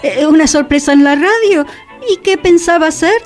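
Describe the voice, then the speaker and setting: A woman's voice: sits high, normal speed, soft background music, lightly acted. A woman acting in radio theatre as an indignant, inquisitive host, with a Buenos Aires accent and a theatrical, questioning manner. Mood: teasing, indignant. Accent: Argentine, Buenos Aires.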